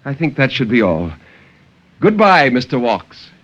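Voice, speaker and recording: A man's voice: mid-range voice, sing-song, measured pace, fairly bright tone. An actor playing a stern sea captain who recounts his ordeal in formal, theatrical 1950s radio horror narration. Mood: coolly polite. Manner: theatrical, intense, formal diction. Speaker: storyteller. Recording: dull, lightly noisy 1950s radio recording.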